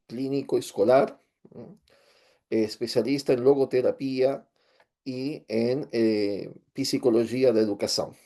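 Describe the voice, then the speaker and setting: A man with an Argentine Spanish accent, a native Spanish speaker, giving an online lecture in a hesitant way with many fillers and pauses. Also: medium pitch, warm tone. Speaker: teacher and therapist. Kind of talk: online lecture with shared screen.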